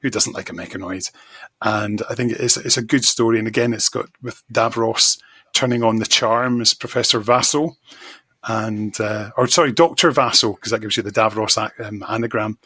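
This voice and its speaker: Podcast guest: effusive man, mid pitch, bright raspy tone, dry sound, casual fan chat. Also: steady tone, normal speed, no room sound. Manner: enthusiastic, effusive. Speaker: podcast guest, magazine editor.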